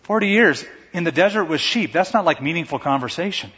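A man preaching a sermon to a congregation in a conversational, animated way, sometimes acting out stammering quotes. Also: a little high, fairly quick, husky. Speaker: pastor. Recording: dull sound in a large reverberant hall.

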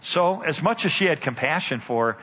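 An older man preaches in a church, building a story with dramatic slowdowns and emphatic pauses. His voice sits a little high and swings widely in pitch, bright with a husky edge. The sound is muffled and rings with long church reverb. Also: expressive. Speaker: guest speaker. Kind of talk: storytelling sermon. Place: church sanctuary. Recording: dull church hall reverb.

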